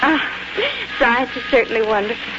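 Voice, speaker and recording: A woman's voice: fairly high pitch, fairly even intonation, normal speed, natural voice. An actress playing a sweet, playful young woman in love, in 1940s radio drama style. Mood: playful, romantic. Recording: muffled, noisy 1940s radio recording.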